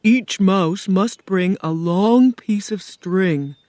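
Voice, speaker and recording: A woman voicing a kind, gentle male scarecrow in a storybook reading, speaking calmly and earnestly. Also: medium pitch, no echo, natural voice. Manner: calm, earnest, slow and persuasive.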